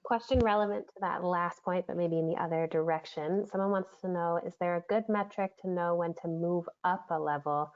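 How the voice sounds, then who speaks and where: A woman's voice: fairly low pitch, steady tone, fairly quick, hardly any pauses, a little mellow. Woman moderator relaying questions, dull audio. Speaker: webinar moderator.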